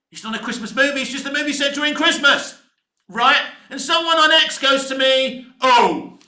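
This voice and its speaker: Really high sharp voiced british guy in his 50s going off on an exasperated film rant, echoey room. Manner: emphatic, exasperated, swearing mildly, repetitive. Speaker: film vlogger. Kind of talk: opinionated rant to camera, casual.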